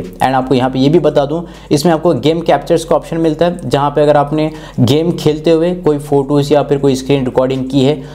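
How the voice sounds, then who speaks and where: A man in his twenties with an Indian accent speaks in a fairly high but soft, mellow tone. He explains phone features in an instructional, step-by-step way, as the host of a tech review. He is close on a clip-on mic. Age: 20s. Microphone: clip-on lapel mic.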